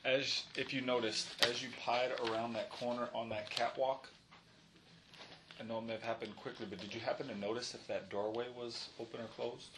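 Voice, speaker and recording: A man's voice: mid-range voice, little pitch movement, fairly quick. A man asking careful, probing questions in a formal interview. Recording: noisy room.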